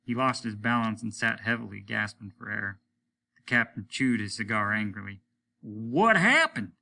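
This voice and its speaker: A man's voice: on the low side, flat delivery, moderate pace, vocal fry, pushed and bright, slight background noise. A man reading a science fiction story aloud as a narrator, in the manner of a teacher reading to a class.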